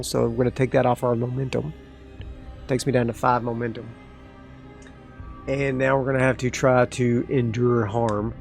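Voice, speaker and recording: Casting note: a man with a gravelly voice, narrating a solo dice game with excitable asides, faint music behind. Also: medium pitch. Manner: talks through dice rolls, excitable asides. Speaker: solo tabletop game player. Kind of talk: solo actual play, thinking aloud.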